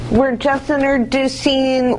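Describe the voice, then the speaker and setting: Slow, higher-voiced woman moderator. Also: level tone, darkish tone, slight background noise. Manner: encouraging, with frequent affirmations. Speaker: workshop leader. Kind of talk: moderating introductions.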